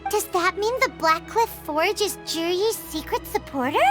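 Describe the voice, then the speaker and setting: A little floating companion from a video game. The voice is extremely high and childlike, with lively, swooping pitch. It sounds chirpy and inquisitive, with music playing behind it. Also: female voice, normal speed. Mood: curious.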